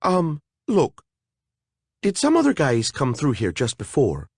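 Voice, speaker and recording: A man voicing a vain, petulant tiny female fairy with a whiny, high, squealing voice. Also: wildly swooping pitch, measured pace, darkish tone, slightly rough, dry recording, studio quality, heavily acted. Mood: anxious.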